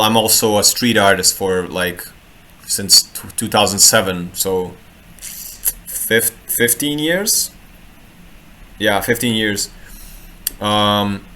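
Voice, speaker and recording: A man's voice: medium pitch, fairly bright tone. A man from Croatia, talking in a loose, rambling way about human design, often restarting words and adding playful asides. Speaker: human design reader and street artist. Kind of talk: casual live talk. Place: home room in Sweden.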